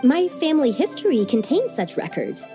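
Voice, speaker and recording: A woman's voice: high voice, very animated, normal speed. A woman voicing an earnest, wistful young woman from a strict tea-farming family in a game cutscene. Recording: soft game music underneath.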